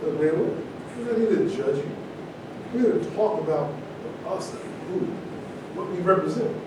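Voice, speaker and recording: A man's voice: lively intonation, moderate pace. A man in a staged play reading, playing an earnest, argumentative character who corrects his peers. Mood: exasperated. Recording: very echoey distant room, rumbling noise.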